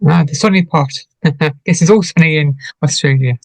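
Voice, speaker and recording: A man with a distinctly high-pitched voice hosts a casual radio interview. He asks his questions haltingly, repeating words as he searches for the next one. The sound is dry, with little room around it. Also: no echo.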